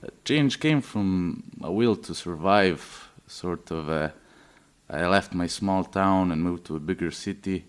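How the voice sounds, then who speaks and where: A man's voice: deep voice, measured pace, clean tone. A man in his twenties from Serbia, giving a personal testimony hesitantly, with many fillers and restarts. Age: 20s. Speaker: interviewee.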